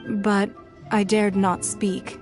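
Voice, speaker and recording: A woman voicing a composed, diplomatic leader in a video game, speaking formally with measured eloquence. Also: mid-range voice, level tone, soft background music, close to an everyday voice. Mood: solemn, reflective. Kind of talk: dramatic video game dialogue.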